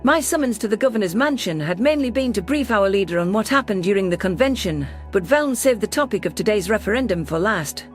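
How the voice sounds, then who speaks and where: A woman's voice: mid-range voice, animated, a little fast, hardly any pauses, faint music behind, lightly acted. Woman playing a spirited alien ambassador, earnest. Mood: reflective. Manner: earnest, assertive. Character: spirited, defiant female alien ambassador, fiercely protective of humans. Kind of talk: science fiction audio drama, first-person narration and dialogue.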